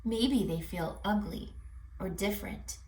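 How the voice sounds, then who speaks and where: A woman voicing kind forest animals from a children's storybook in a gentle, coaxing, expressive way. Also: medium pitch, measured pace, lightly acted. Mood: gentle, concerned. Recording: echoey room, distant mic, music.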